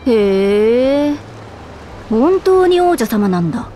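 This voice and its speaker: A woman's voice: on the high side, big pitch swings, takes it slowly, warm tone, soft background music. A woman voicing a curious, frank young woman traveler in a game.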